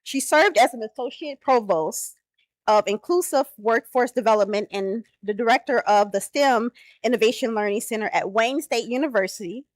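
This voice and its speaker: A woman's voice: fairly high pitch, normal speed, no echo. A woman from Chicago's South Side introducing a keynote speaker at a dinner, speaking conversationally with fillers and self-corrections. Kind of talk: formal introduction speech with personal asides. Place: banquet hall.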